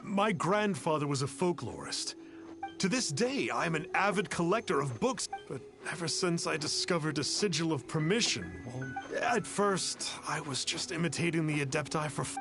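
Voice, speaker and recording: A man voicing the pompous, excitable ghost of a fraudulent young exorcist in a video game, with florid, theatrical delivery. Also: on the high side, moderate pace. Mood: awed, fawning. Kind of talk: video game character acting. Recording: game music behind.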